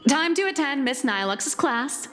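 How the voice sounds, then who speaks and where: A woman's voice: high-pitched, expressive, unhurried, fairly bright tone. A woman playing a theatrical young comic artist in an anime game, lecturing like a self-assured, mock-scholarly teacher. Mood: lecturing, dramatic. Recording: long reverb, music behind.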